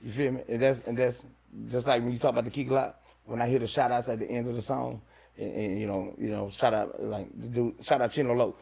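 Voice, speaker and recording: A man's voice: medium pitch, level tone, brisk, creaky, a little mellow, breathy edge. A man from Louisiana, a rapper chatting casually on a podcast and tagging "you feel me" onto nearly every line. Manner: laid-back, repeats "you feel me" constantly. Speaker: rapper guest.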